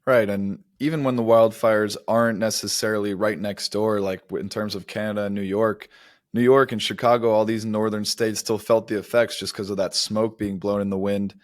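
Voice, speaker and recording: A man's voice: lowish voice, fairly even intonation, moderate pace, no room sound, clean studio sound. A man co-hosting a podcast, explaining startup news in an articulate, semi-scripted way. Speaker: podcast co-host.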